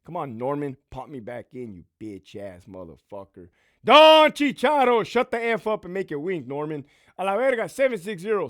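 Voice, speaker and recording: Brash, profane man with a lively voice. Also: sits high, no echo, clean studio sound. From California. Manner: brash, profane, laughs often, streetwise slang. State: losing his voice. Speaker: YouTube host, former prison officer. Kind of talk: casual profane monologue, reading supporter names.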